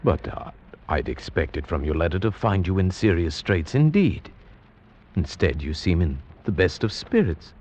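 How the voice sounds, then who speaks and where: An actor playing a grave, dread-filled gentleman, narrating solemnly and deliberately in theatrical old-time radio horror style. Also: deep voice, big pitch swings. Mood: concerned. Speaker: narrator and visiting friend. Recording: muffled vintage radio recording with music. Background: music.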